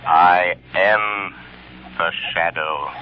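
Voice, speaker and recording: An actor playing a mysterious invisible male avenger, speaking in a measured, solemn, hypnotic way in old-time radio drama style. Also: sits low, fairly even intonation, slight background noise. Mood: calm, commanding. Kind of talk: 1930s radio drama acting. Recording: dull old radio recording.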